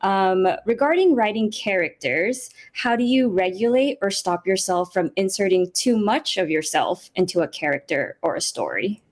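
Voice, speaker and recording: A woman's voice: mid-range voice, lively intonation, moderate pace, hardly any pauses. A woman hosting a casual panel, reading out questions and responding in an upbeat, encouraging manner. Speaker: panel host.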